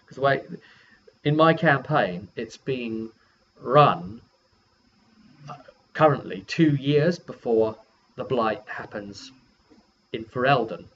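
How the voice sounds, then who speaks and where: A man's voice: medium pitch, lively intonation, takes it slowly, pauses often, a bit bright. Muffled-sounding man rambling through a game recap. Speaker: game master recounting a session. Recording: muffled lo-fi with background hiss.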